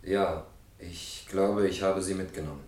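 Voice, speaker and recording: An actor playing a guilt-ridden defendant in a German radio crime drama, halting and subdued. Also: male voice, deep voice, steady tone, a little mellow. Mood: evasive, reluctant. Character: guilt-ridden, evasive male defendant confessing in court. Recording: roomy, echoing radio drama studio.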